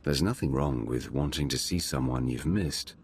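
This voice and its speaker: An actor playing a steady, terse, gruff male ally in a video game cutscene, understated. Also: extremely low pitch, normal speed, exaggerated voice. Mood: reassuring.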